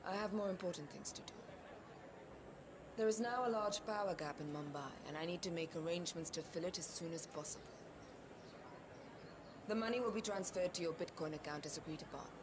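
An actress playing a cold, businesslike crime boss in a video game, curt and formal on a phone call. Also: female voice, lowish voice, brisk, pauses often. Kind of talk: scripted video game dialogue. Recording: distant, quiet voice in noisy ambience.

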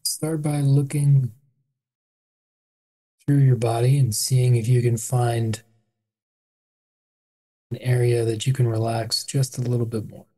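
A meditation guide with an adult voice, leading a body scan in a calm, unhurried, gentle way with pauses. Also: medium pitch, low-quality recording.

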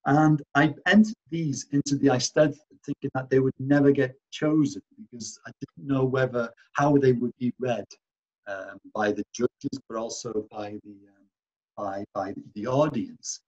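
A man's voice: mid-range voice, normal speed, dull audio. A man giving an artist talk over slides, hesitant and full of restarts and fillers. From Wales.

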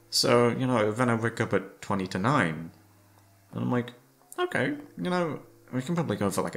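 A man with a gravelly voice rambling casually, tired and downcast, hesitant and self-deprecating, swearing often. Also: lowish voice. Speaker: audio creator talking to listeners. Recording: music playing behind the voice. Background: soft background music.

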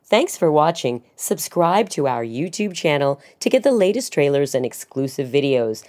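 A woman's voice: sits low, big pitch swings, normal speed, a little mellow. A middle-aged woman reading scripted celebrity news as a voice-over narrator.

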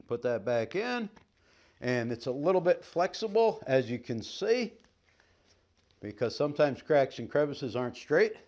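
A man's voice: medium pitch, very animated, measured pace, darkish tone. A middle-aged man giving a folksy, upbeat product demonstration as a sales trainer, joking as he handles the equipment in a staged living room. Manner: folksy, upbeat, jokey patter while handling gear.

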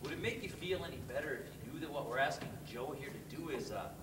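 A man's voice: medium pitch, expressive, quick pace, natural voice. A man on stage playing a streetwise ex-convict bluesman who speaks bluntly and tersely. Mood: earnest. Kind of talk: amateur stage play acting. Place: theatre stage, far camera. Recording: echoey distant stage recording, noisy.

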